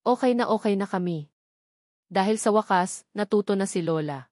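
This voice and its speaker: A woman's voice: medium pitch, darkish tone, dry recording, clean studio sound, natural voice. Woman voicing a remorseful proud grandmother, pleading. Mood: tender relief. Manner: pleading, halting repetitions. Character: remorseful proud older mother and grandmother, tearful and softening.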